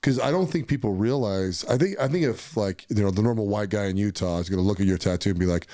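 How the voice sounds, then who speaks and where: A man hosting an interview podcast, quick to agree and leading the talk casually. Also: lowish voice, mellow. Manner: brisk, lots of short agreements. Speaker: podcast host.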